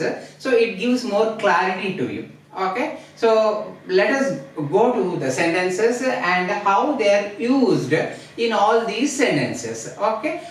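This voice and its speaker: A woman from India teaching English grammar at a classroom board, speaking slowly and deliberately, repeating each example sentence with frequent fillers. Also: mid-range voice. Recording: roomy echo, distant from mic.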